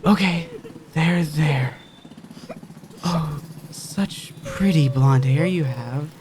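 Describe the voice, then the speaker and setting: A man's voice: on the high side, very slow, background noise. An actor playing a creepy, predatory man who poses as kindly in a horror audio drama. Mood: coaxing, syrupy.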